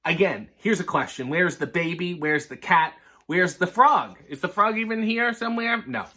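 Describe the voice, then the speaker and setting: A man's voice: high-pitched, expressive, moderate pace, pushed and bright. A man reading a children's book aloud as host, with wry, mock-concerned comic commentary and frequent digressions.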